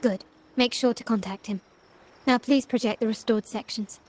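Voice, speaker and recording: A woman playing a composed, analytical young heiress in a video game, speaking in a measured, formal way. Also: a little high, fairly even intonation, darkish tone, in a large hall, close to an everyday voice. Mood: commanding. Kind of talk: scripted game dialogue.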